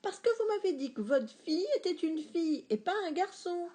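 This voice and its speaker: A woman reading a storybook, voicing a flustered waitress who gets fed up and shrill. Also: lively intonation, normal speed, mellow. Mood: exasperated. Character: fed-up fast-food waitress, flustered and snappish.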